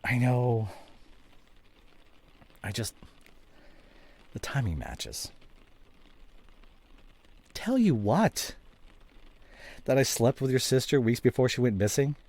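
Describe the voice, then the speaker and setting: A man playing a guilt-ridden, defensive estranged husband and father in a dramatic audio roleplay, speaking emotionally and haltingly with a husky voice. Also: medium pitch, sing-song, darkish tone, heavily acted. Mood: pained, confessional, then defensive, agitated. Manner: emotional, halting, swinging from muted to heated. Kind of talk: dramatic audio roleplay, one side of a conversation.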